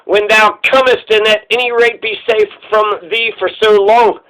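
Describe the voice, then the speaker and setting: Man playing naive storybook youth, high-voiced. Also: fairly even intonation, moderate pace, a bit bright, poor audio. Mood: agreeable. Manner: archaic speech, earnest. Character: carefree, naive young man from a fairy tale.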